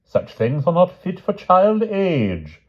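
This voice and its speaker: A man's voice: high voice, huge pitch swings, slow pace, lo-fi sound, voice pushed up, heavily acted. A man doing a haughty, stilted foreign knight. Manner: broken, formal English, lofty and stilted. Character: pompous foreign knight tutor, haughty and stiff.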